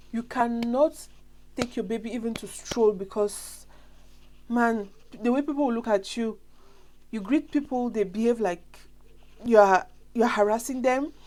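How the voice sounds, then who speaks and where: Young cameroonian lady w a flu, medium pitch, rambling casual chat to her followers. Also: a little mellow. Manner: chatty, rambling, direct address to viewers. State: has a flu. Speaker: vlogger.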